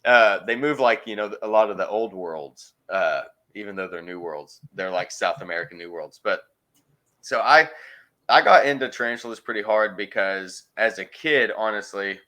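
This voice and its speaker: A man's voice: on the low side, expressive, normal speed, sharp tone. A man chatting casually as an interview guest, rambling and searching for words with frequent ums. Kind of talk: casual chat.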